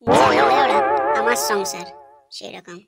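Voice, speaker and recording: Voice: medium pitch, unhurried, creaky, a bit bright, slightly rough, no echo, faint music behind, heavily acted. Whiny, cheeky, lazy village son, acted out by a woman. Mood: whining. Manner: whiny, insolent. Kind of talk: comic cartoon dubbing.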